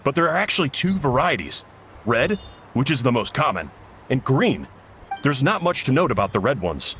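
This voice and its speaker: A man's voice: mid-range voice, sing-song, normal speed, fairly bright tone, slight background noise. A man voicing a genial, avuncular village cook who proudly explains things in a video game. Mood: advising. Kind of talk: animated game character dialogue.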